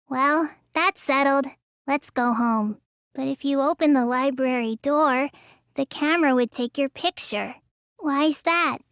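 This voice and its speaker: A woman's voice: high voice, dry recording, studio quality. A woman voicing a sluggish, stubborn, gullible teenage girl magician in an English video game dub, with a lazy, childish drawl that turns whiny.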